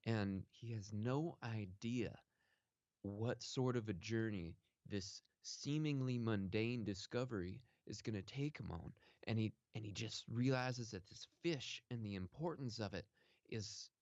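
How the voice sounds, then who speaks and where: A man playing a proud author who rambles on a radio call-in, halting and oblivious, full of fillers. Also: lowish voice, darkish tone, no echo, muffled recording. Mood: boastful. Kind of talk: improvised comedy sketch.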